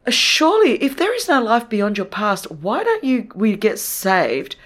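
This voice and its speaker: A woman born in Australia to Greek parents, with Greek as her first language, telling her life story as a guest in a conversation, animated and rising sharply in pitch when she makes a point. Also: unhurried. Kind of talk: conversational testimony.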